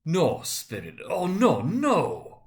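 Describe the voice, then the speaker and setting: A man's voice: a little high, huge pitch swings, pitched above the performer's own voice, exaggerated voice. A man voicing a frightened, repentant old miser who stammers and pleads in a dramatic storybook reading. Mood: desperate, pleading. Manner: stammering, halting, urgent.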